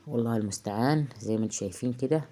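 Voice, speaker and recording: A woman with an Egyptian accent, hoarse from a heavy cold, chatting casually through a cooking tutorial in her home kitchen, halting often with drawn-out filler sounds. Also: a bass voice, mellow. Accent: Egyptian Arabic. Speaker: home cook vlogger. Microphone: phone.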